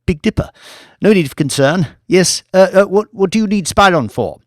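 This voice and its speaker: A man's voice: sits high, normal speed, no echo, voice pushed up. Audiobook villain male narrator acting: gleeful, eccentric scientist, raised voice, fussy rambling chatter, very dry sound. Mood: blustering, reassuring. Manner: excitable, chatty, gloating. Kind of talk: audiobook character voice.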